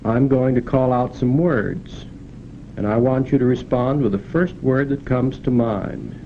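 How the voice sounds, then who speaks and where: A male experimenter asks questions and gives instructions in a calm, directive way. The sound is dull and muffled, with a constant hiss from a 1940s film soundtrack. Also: medium pitch, a little slow. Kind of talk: giving instructions and prompts.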